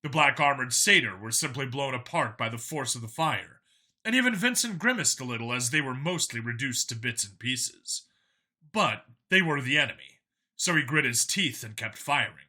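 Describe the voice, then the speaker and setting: A man narrates an audiobook with a very bright, forceful voice at a middle pitch. His delivery is scripted and dramatic, clearly storytelling. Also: expressive, normal speed.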